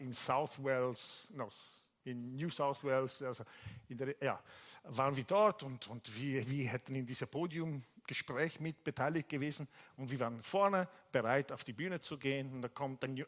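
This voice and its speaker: A male pastor from Italy preaching in German with an Italian accent, telling a story in a lively way and jumping much higher in pitch when he quotes people. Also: normal speed. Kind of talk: sermon with anecdote. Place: church service.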